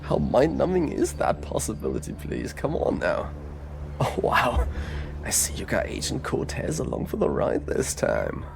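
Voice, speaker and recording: A man's voice: lowish voice, wildly swooping pitch, measured pace, gravelly, background noise, full character voice. An actor playing a cocky, teasing male detective in an audio roleplay drama, with sardonic, theatrical banter. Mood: mocking. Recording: music behind the voice.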